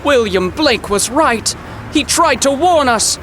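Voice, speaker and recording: An actor playing a pompous, easily flustered professor from the 1930s in a comic audio drama, with formal, old-fashioned diction. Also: male voice, very high-pitched, lively intonation, slow pace, bright, forceful tone, some chatter behind, slight background noise, exaggerated voice. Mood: panicked. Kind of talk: period audio drama comedy acting.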